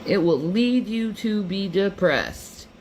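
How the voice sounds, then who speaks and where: A woman's voice: mid-range voice, lively intonation, slow pace, a little mellow, slight background noise. A woman giving an earnest motivational monologue, emphatic and repeating herself.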